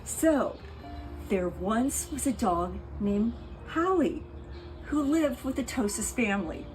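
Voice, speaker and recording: A woman reads a children's story aloud with a highly animated, swooping delivery. Her voice sits fairly high and she takes her time, savoring phrases expressively. Music plays behind her. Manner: expressive, deliberate, with playful asides to listeners. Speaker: picture book reader for a pet rescue show.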